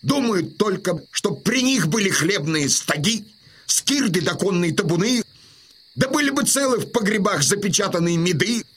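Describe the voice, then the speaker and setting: An actor playing a proud, stern old Cossack chieftain in a Russian audio drama, declaiming emphatically with weighty pauses. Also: male voice, high-pitched, lively intonation, a bit bright, husky, full character voice. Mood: impassioned oratory.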